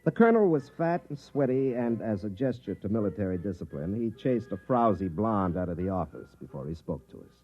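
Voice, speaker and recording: Man as cynical, boozy veteran captain; lively, mocking delivery, muffled old radio sound. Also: on the low side, brisk, few pauses. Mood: dry, world-weary. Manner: sardonic, mocking. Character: cynical, hard-drinking veteran army captain. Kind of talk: 1950s radio drama acting and narration. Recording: muffled vintage 1950s radio, faint music.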